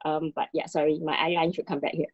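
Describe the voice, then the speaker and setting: A woman's voice: sits low, level tone, fast talker, dry recording. A woman talking casually and reflectively as an artist, hesitant and a little self-conscious as she searches for words, on a muffled recording. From Singapore. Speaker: artist in dialogue with a fellow artist.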